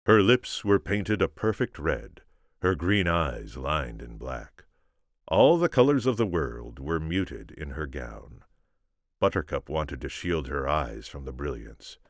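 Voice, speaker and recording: A man reading an audiobook, telling the story in a measured, dramatic way. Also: a bass voice, sing-song, no room sound, clean studio sound. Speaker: audiobook reader. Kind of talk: scripted story narration with informal asides.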